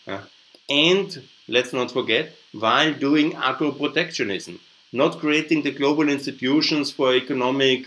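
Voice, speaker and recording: A man's voice: mid-range voice, a bit bright, poor audio. Emphatic man with an Austrian accent. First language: German. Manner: emphatic, opinionated, repeats points, tags with "yeah?". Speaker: podcast host, political commentator. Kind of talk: unscripted political commentary over slides.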